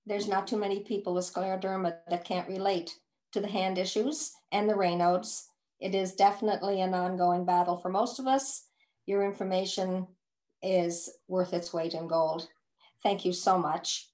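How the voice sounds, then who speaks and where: A woman's voice: mid-range voice, level tone, moderate pace, darkish tone. An older woman hosting a webinar, thanking a speaker and handing over to the next one over a video call. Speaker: webinar host. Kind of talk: moderating a webinar.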